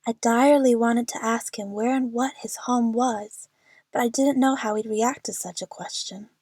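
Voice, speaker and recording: Woman as lovestruck, nervous young female narrator for audio drama; higher-pitched, earnest, very dry sound. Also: moderate pace, darkish tone, slightly rough, dry recording. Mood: tender, longing. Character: young female movie fan, anxious, lovestruck, narrating her adventure.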